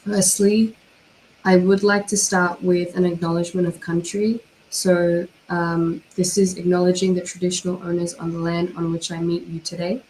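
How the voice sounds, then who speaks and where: A hoarse woman with a cold, giving a formal prepared talk over a video call from home at night. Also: lowish voice, little pitch movement, darkish tone, a little hiss or hum, low-quality recording. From Australia. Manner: measured, formal, with occasional fillers. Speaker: panel speaker, cyber criminologist. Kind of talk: formal prepared online presentation.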